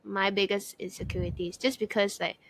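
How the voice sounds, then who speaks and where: A woman's voice: medium pitch, steady tone, fairly quick, fairly bright tone, dry recording, poor audio. Teasing Malaysian woman, dry close sound. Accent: Malaysian English. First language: Cantonese. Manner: chatty, teasing, lots of "like". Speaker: podcast co-host. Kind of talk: casual chat.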